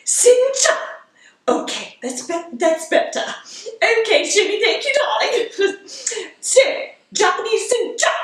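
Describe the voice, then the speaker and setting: A woman's voice: very high-pitched, exaggerated voice. A woman playing a giggly, doting, theatrical tea-party hostess, talking to her audience in an affected, gushing way. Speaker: show host. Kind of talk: whimsical character monologue to an audience. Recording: very echoey room, distant microphone.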